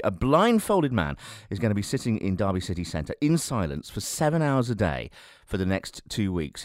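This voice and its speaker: A man presenting a radio show, his pitch swinging up and down as he talks. He trips over his words here and there and laughs between questions. He sounds like a breezy morning-show host. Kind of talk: radio interview.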